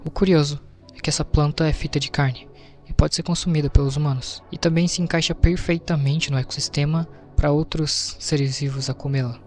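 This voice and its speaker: A man's voice: a little high, steady tone, fairly quick, darkish tone. A man with a Brazilian accent narrating a scripted explainer in an informal storytelling way, with casual asides. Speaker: video narrator. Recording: music behind the voice. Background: background music.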